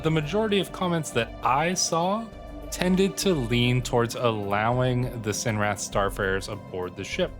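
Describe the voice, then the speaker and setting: A man's voice: medium pitch, moderate pace. A man from Canada with a bad cold, giving casual, musing commentary as host of a strategy game roleplay video. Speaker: YouTube gaming host. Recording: loud music under the voice. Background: music.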